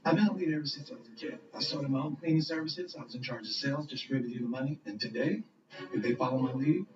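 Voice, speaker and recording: Brief: brisk confident man in his fifties, contestant intro, roomy distant TV audio. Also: medium pitch, lo-fi sound. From Los Angeles. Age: 50s. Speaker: game show contestant.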